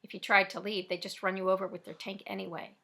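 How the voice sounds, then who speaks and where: A woman's voice: mid-range voice, little pitch movement, fast talker, creaky, sharp tone. A woman doing a blunt, streetwise young robber. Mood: calm.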